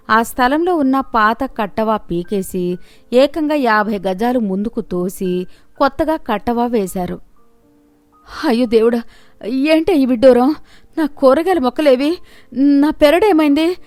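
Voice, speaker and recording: A woman's voice: medium pitch, dry recording. A woman narrating a scripted moral story as a storyteller.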